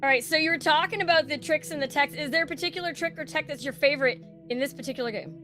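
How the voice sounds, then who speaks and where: Bubbly woman interviewer, high voice. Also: rapid-fire, bright, forceful tone, soft background music. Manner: bubbly, encouraging. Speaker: interview host. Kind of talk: upbeat interview hosting.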